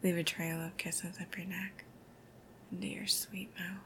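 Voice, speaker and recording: A woman doing a whispered ASMR girlfriend roleplay, soft, intimate and affectionate. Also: on the low side, little pitch movement, unhurried, vocal fry, slight background noise.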